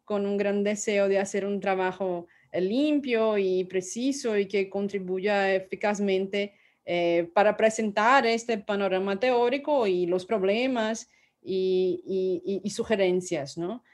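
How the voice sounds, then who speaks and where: A woman's voice: mid-range voice, measured pace, a little mellow. A woman who is not a native Spanish speaker, presenting her own book in an academic talk, hesitant, with frequent restarts and tag questions.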